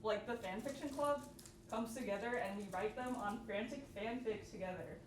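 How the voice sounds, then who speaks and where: A woman's voice: a little high, moderate pace. A young woman giving an informal workshop talk, saying "like" often, recorded from a distance in an echoey, noisy room. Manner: informal, peppered with "like". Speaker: workshop presenter.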